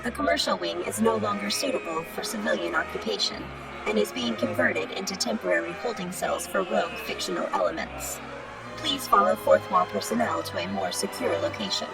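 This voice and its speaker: Actress as official female announcer, gravelly fry, deadpan, procedural, off-mic, loud music. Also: mid-range voice, fairly quick. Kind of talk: scripted announcement in audio drama. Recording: distant voice under loud music.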